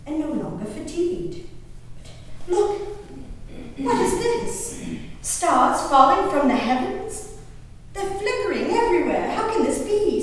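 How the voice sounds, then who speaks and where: A woman performing a genteel, impassioned colonial-era lady diarist on stage, declaiming her journal with deliberate dramatic pauses. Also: very high-pitched, exaggerated voice. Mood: hushed, reflective, then wonder, excitement. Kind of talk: theatrical dramatic reading of historical diaries. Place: live stage performance in a reverberant hall. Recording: very echoey hall from far off, noisy.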